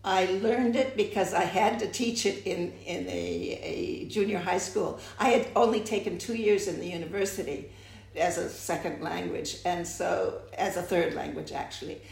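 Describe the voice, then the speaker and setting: An older American woman with a deep, gravelly, creaky voice, slightly low for a woman. She tells her story in a reflective, halting way, restarting her phrases often. Her voice sounds roomy and echoey. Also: darkish tone. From United States. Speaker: interviewee. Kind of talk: oral history interview. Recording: echoey room.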